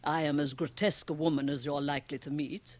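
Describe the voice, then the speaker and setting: A woman's voice: low voice, fairly even intonation, fast talker, darkish tone. A woman playing a grotesque, sly European magic-show performer in a radio drama, teasing and provocative in a theatrical manner. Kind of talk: 1980 radio drama acting. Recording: 1980 radio drama, home cassette transfer.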